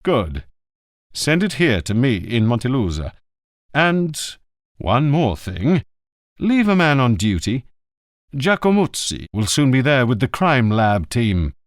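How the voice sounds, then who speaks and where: A man's voice: mid-range voice, very animated, slow, no room sound, studio quality. A man reading an audiobook, voicing a terse male police inspector who speaks curtly and bluntly. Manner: curt, blunt. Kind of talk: audiobook character voice.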